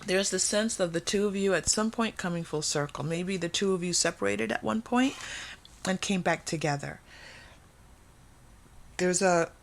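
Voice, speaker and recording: A woman's voice: lowish voice, normal speed, vocal fry, long pauses, a little hiss or hum. A woman giving a casual, intuitive tarot reading to viewers, thinking aloud conversationally and repeating phrases for emphasis. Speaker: tarot reader and host.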